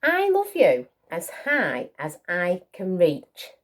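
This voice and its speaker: A woman's voice: fairly low pitch, huge pitch swings, slow pace, exaggerated voice. A woman voicing a small, eager, affectionate young male hare in a storybook, earnest and full of wonder.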